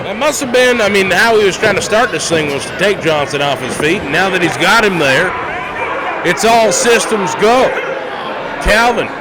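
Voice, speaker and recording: A man calling a live wrestling match play-by-play, excitable and dramatic, with colloquial catchphrases. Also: high voice, moderate pace. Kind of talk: live sports commentary. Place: indoor arena at a live wrestling event. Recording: loud crowd and noise in arena. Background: loud live crowd.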